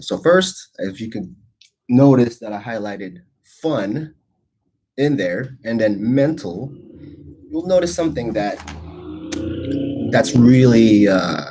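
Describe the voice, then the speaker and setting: A man's voice: fairly low pitch, darkish tone, slightly rough. A man in his forties, born in the Philippines, hosting a casual livestream show in a rambling, chatty way with lots of fillers and restarts. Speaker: host.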